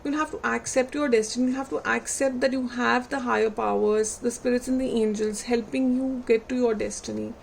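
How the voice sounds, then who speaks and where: A woman reading tarot cards for her viewers, unhurried and reflective, putting gentle questions to them and losing her voice. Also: on the high side, steady tone, creaky, slight background noise. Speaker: tarot reader.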